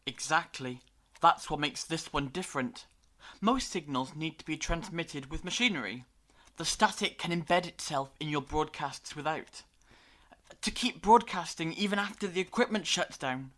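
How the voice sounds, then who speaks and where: A woman playing a brainy time-travelling alien in a fan audio drama, lecturing gravely in deliberate monologues with dramatic pauses. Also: sits low, a bit bright. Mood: explaining intently.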